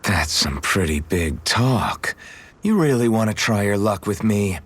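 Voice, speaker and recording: An actor playing an easygoing, modest young swordsman with a gently teasing, casual way of talking in a video game cutscene. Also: fairly low pitch, expressive, a little slow, noisy background. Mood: guarded banter.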